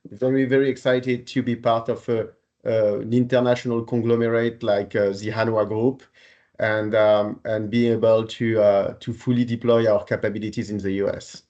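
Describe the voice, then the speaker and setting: Male executive guest, forties, French accent, medium pitch, measured hesitant conversational delivery, slightly dull sound. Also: a little mellow. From Paris, France. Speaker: podcast guest, renewable energy executive. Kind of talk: conversational career account in interview.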